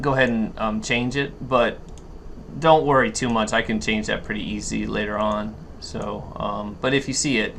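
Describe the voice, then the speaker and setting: A man giving an unscripted software walkthrough, thinking aloud and haltingly explaining each step as he goes. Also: mid-range voice. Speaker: tutorial instructor. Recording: noticeable background noise.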